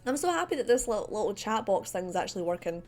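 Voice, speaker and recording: A Scottish woman with a central belt accent talks easily into a desk microphone, mid-pitched and chatty. She rambles from thought to thought, full of "um"s and small laughs. Faint music plays softly behind her. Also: sing-song, quick pace. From Scotland. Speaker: streamer. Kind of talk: casual livestream chat while drawing. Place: home desk. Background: faint background music.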